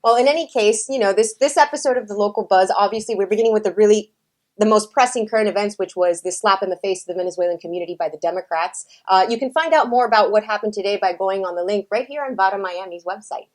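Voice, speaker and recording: A woman's voice: mid-range voice, fast, a little mellow. A woman hosting a political interview show, talking in an emphatic, indignant way. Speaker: talk show host.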